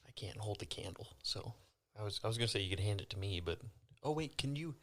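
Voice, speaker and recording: A man with a fairly low voice talks very quickly as a player in a tabletop game. His delivery is casual table chat, half in character. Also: lively intonation, a bit bright.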